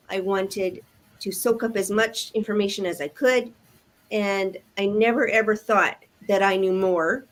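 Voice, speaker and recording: An older woman, a grandmother, speaking as a guest in a live online business chat in an earnest, measured way. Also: mid-range voice, low-quality recording. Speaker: panel guest.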